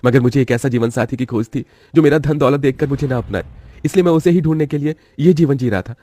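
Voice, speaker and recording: Casting: male actor as polite young suitor for a cartoon tale; quick, mellow, dry close sound. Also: medium pitch, no room sound, natural voice. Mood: earnest confession. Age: young adult. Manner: polite, earnest. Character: earnest, polite young rich man posing as labourer. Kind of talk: dramatised Hindi moral cartoon story.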